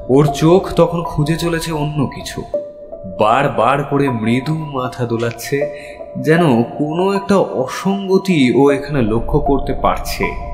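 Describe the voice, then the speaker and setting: A man narrating a scripted detective audio story in the first person, in a dramatic storytelling style. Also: medium pitch. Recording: long reverb, music behind. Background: music.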